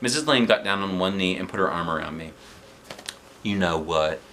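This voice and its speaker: A man narrating a children's storybook aloud in an animated style. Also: sits low, quick pace, a little hiss or hum. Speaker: storybook reader.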